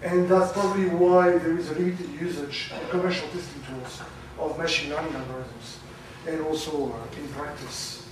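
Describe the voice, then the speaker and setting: Loud man lecturing in an echoey hall. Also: a little high, steady tone, background noise. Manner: loud, halting with many fillers. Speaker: conference speaker. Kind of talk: academic presentation. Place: lecture room. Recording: very echoey, from far off.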